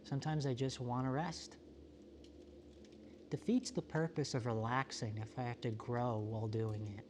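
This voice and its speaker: A man playing a solitary, introspective apocalypse survivor in a found-footage monologue, talking in a halting, self-questioning, confessional way. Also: medium pitch, fairly even intonation, darkish tone, a little hiss or hum, natural voice. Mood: weary, reflective. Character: solitary, world-weary, introspective male survivor of a quiet apocalypse.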